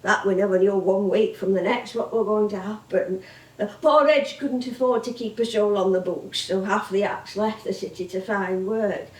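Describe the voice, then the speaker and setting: A woman's voice: mid-range voice, moderate pace, close to an everyday voice. An actress playing a chatty, nostalgic older comedienne with a Northern English accent, rambling and exclaiming as she tells stories on stage. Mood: fond, animated. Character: veteran comedienne, chatty, nostalgic older music-hall woman. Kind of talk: theatrical stage monologue and dialogue. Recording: echoey theatre stage from far off.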